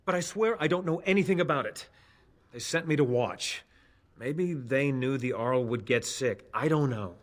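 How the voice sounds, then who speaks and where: A man's voice: medium pitch, normal speed, natural voice. An actor playing a nervous, evasive elf spy in a fantasy game, defensive and pleading as he stammers. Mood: frightened, pleading.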